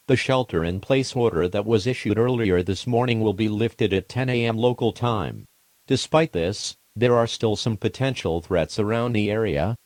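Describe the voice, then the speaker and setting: A man voicing a formal, impersonal emergency alert announcer, reading scripted warnings in a measured way. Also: fairly low pitch, a little mellow, dry recording, lightly acted.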